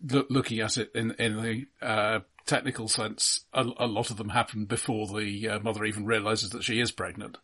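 A man with a somewhat husky, rough-edged voice, co-hosting a casual film discussion. He speaks analytically and in a measured way, pausing and hesitating as he assembles his points. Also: fairly low pitch, level tone, sharp tone. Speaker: podcast co-host.

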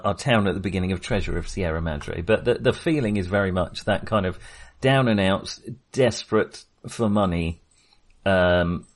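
A man with a fairly low voice speaks as a podcast co-host in a relaxed film discussion. His phrasing is halting and hesitant, as he feels his way through each thought.

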